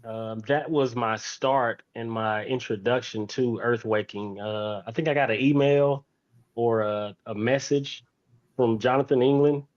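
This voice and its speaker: A man tells his story in an interview. He takes his time, speaking slowly at a middling pitch and circling back on phrases to stress them. The audio is a little muffled and lo-fi. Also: fairly even intonation. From Fort Worth, Texas, United States. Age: 40s. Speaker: interview guest, teacher.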